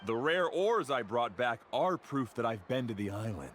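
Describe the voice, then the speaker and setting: A man's voice: mid-range voice, big pitch swings, normal speed, a bit bright, slight background noise. A man playing an arrogant, dismissive expert guide in a video game, with a blunt, condescending manner.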